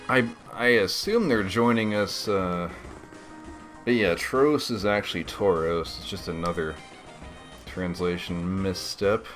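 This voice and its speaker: A man giving casual, rambling game commentary as a let's play host, laid-back and slangy. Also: sits low.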